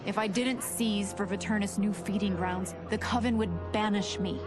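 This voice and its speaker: An actress voicing a cold, scheming vampire who narrates in a measured, menacing, deliberate way for a video game. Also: female voice, mid-range voice, fairly even intonation, lightly acted. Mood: cold, calculating. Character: cold scheming vampire woman hungry to feed her people. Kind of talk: scripted game ending narration. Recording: loud music behind. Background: music.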